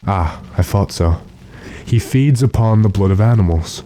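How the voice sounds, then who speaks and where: A man playing a calm, commanding gentleman vampire hunter in a radio horror drama, deliberate and authoritative. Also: fairly low pitch, no room sound, natural voice. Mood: calm, probing.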